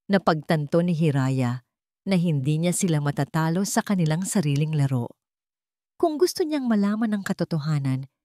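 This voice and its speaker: A woman's voice: fairly low pitch, dark tone, no room sound, clean studio sound. A woman with a Filipino accent reading a scripted dramatic story as its narrator.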